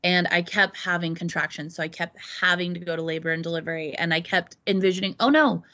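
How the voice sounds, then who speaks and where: A woman's voice: fairly low pitch, steady tone, quick pace, pushed and bright, lo-fi sound. A woman in her thirties, a podcast guest, talking through her own experiences in an earnest, reflective way. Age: 30s. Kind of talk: casual conversation.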